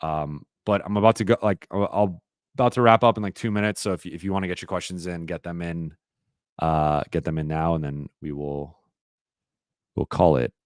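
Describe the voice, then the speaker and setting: A man hosting a live-stream Q&A, talking casually and rambling with lots of fillers and restarts, his voice a little raspy. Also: low-pitched, steady tone, normal speed, no room sound, studio quality. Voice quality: slightly raspy voice.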